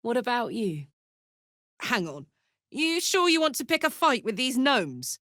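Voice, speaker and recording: A battle-hungry video game warrior woman with a big heart and a salty, informal tongue. Her delivery is unhurried and emphatic, swinging widely in pitch, in a very dry studio sound. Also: very animated, fairly bright tone, dry recording. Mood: breezy, teasing. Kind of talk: video game character voice lines.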